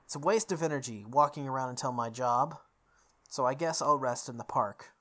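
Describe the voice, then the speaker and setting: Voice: medium pitch, fairly quick, fairly bright tone. A person reading visual novel text aloud for a playthrough, stumbling over words and repeating phrases, with offhand asides. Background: music.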